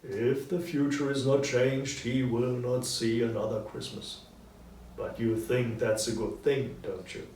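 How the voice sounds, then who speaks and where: Man voicing a grave, stern Christmas ghost, slow and deliberate. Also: medium pitch, fairly even intonation, a little mellow, echoey room, far from the mic, a little hiss or hum, natural voice, rough recording. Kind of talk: dramatic audiobook character reading.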